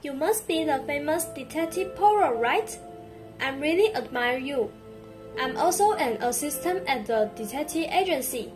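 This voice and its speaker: A woman's voice: sits high, lively intonation, moderate pace. A young woman playing an eager, admiring detective's assistant in a student English radio drama. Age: young adult. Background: background music.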